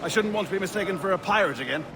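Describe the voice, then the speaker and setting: A man's voice: high-pitched, lively intonation, very fast, background noise. A man playing a genteel, nervously chatty merchant in a period video game, with an effusive, affected politeness, music behind him.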